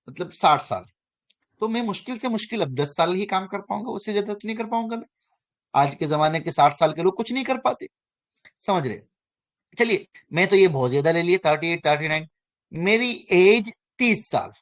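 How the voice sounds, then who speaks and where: A man in his thirties teaching an online finance class, often pausing to check that students understand and correcting himself as he goes. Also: high-pitched. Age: 30s. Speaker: finance course instructor.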